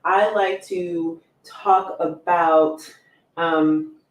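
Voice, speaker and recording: A woman's voice: on the low side, fairly even intonation, very slow, distant mic. A woman hosting a podcast as a conflict coach, chatting casually, with a strained voice that keeps giving out. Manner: chatty, rambling, with many fillers. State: losing her voice. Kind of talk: casual livestream podcast chat. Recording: echoey room, voice off-mic.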